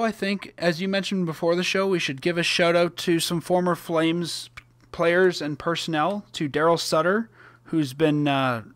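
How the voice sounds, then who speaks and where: A man's voice: a little high, fairly bright tone, no echo. A man hosting a podcast in casual chat, upbeat and conversational. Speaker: podcast host.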